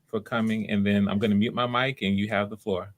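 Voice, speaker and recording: Low, even-voiced male audition host. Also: fairly quick. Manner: encouraging, polite. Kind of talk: casual online hosting.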